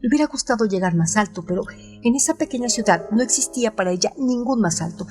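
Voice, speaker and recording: Female narrator, scripted literary reading, slightly high pitch, soft music bed. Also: animated, fast talker.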